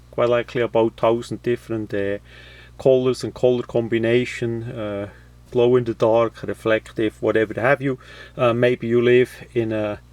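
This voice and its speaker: A man presenting a how-to, explaining step by step in an unscripted, halting way with frequent pauses. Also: medium pitch, a little mellow, no room sound. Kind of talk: unscripted step-by-step tutorial talk.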